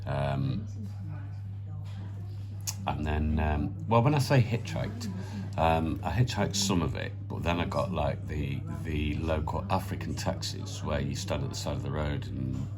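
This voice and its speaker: Casting: British bloke with an extremely low voice, spinning a loose, sweary, matey yarn over loud music. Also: male voice, a little slow. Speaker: storyteller. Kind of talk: casual pub anecdote. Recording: music behind the voice.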